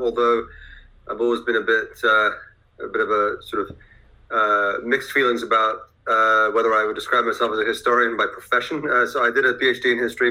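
A man born in Iran who grew up near London talks as a historian guest on a podcast. His voice is bright and forward. He thinks aloud, circling back with fillers and restarts as he builds his answers. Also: mid-range voice, low-quality recording. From Iran, raised near London. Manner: thoughtful, hesitant with many fillers.

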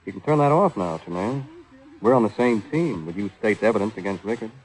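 An American actor playing a cool, sardonic, teasing private detective in a vintage 1940s radio drama. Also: male voice, on the low side, animated, a little fast, a little mellow, natural voice, poor audio. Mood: confident, probing. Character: wisecracking, cool-headed male private detective, flirtatious with women.

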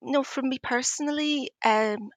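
A woman with an Edinburgh Scottish accent, speaking conversationally as an interview guest in a thoughtful, hesitant, measured way. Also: high voice, dry recording. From Scotland, Edinburgh.

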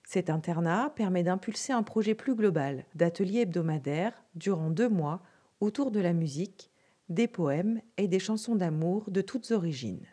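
A woman narrating a podcast introduction in measured, scripted French. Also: fairly low pitch, slightly rough. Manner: even, measured reading.

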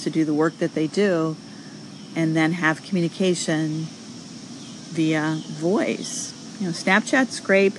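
A woman's voice: on the low side, background noise. A middle-aged woman hosting a casual audio show, answering callers in a chatty, encouraging way with plenty of fillers. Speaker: host.

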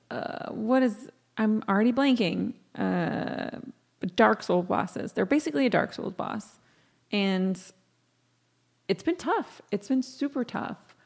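Croaky, tired woman rambling casually. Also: fairly high pitch, darkish tone. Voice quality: scratchy, croaky. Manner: slangy, self-deprecating, exasperated. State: sleep-deprived, voice tired from talking. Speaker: podcast host. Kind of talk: casual, rambling solo podcast chat.

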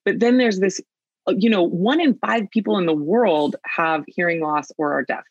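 A woman's voice: lowish voice, moderate pace, no room sound, highs cut off. A woman on a product team talking casually and earnestly, with many fillers and restarts. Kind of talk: casual conversational interview.

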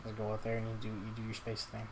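A man's voice: fairly low pitch, monotone, fast talker. A tipsy man co-hosting a casual podcast, laid back with mumbled asides. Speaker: podcast co-host. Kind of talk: casual chat. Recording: audible background noise.

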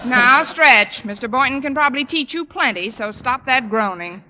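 An actress playing a wry, dry-witted woman high school teacher in old radio comedy style. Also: expressive, normal speed, pushed and bright, full character voice. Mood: brisk. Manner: wry, sardonic. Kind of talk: 1940s-50s radio comedy acting. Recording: very noisy old radio recording.

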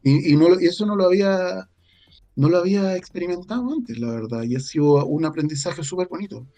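A man's voice: sits high, animated, fairly quick, warm tone. A man with a Chilean Spanish accent, talking casually and reflectively as an interview guest, peppering his speech with colloquial slang. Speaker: guest, audio drama creator and scriptwriter.

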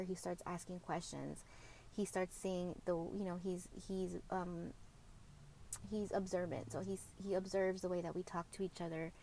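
A woman hosting a podcast, speaking openly and reflectively about co-parenting, often pausing and correcting herself. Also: fairly low pitch, a little mellow, a little hiss or hum. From Mexican heritage, grew up speaking Spanish at home. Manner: reflective and open, with frequent fillers and self-corrections. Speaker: podcast host. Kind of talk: candid personal podcast monologue.

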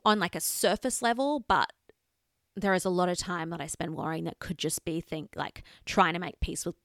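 A woman, co-hosting a podcast in casual, animated chat. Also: on the low side, moderate pace, no room sound, clean studio sound. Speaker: podcast co-host.

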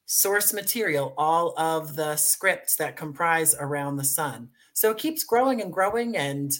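An American man talks as a guest in a conversational interview. His voice sits fairly high for a man, and his phrasing is effusive, grateful and playful. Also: expressive, measured pace, fairly bright tone. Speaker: guest, audio drama writer and producer.

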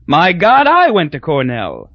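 A man's voice: a little high, huge pitch swings, unhurried, dry recording, lo-fi sound, voice pushed up, full character voice. Man doing a blustering drunk businessman. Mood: boozy. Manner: loud, boozy, folksy and crude. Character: blustering drunk middle-aged American businessman.

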